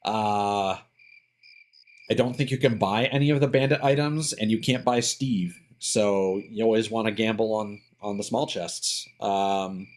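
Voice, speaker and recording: Halting man explaining a video game. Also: lowish voice. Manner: explanatory, halting, thinks aloud with asides. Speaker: game streamer. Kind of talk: casual live gameplay commentary.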